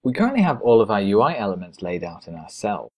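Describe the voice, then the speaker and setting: A man's voice: on the low side, big pitch swings, fast talker, a little mellow. A man narrating a step-by-step programming screencast as an instructor, in a methodical, explanatory way.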